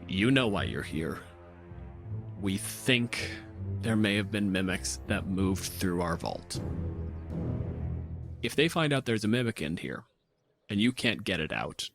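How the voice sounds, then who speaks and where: A man voicing an anxious, businesslike dwarf bank boss who speaks plainly and gravely in a tabletop game. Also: fairly low pitch, expressive, takes it slowly, pauses often, faint music behind, full character voice. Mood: grave, worried. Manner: hushed, grave, plain-spoken.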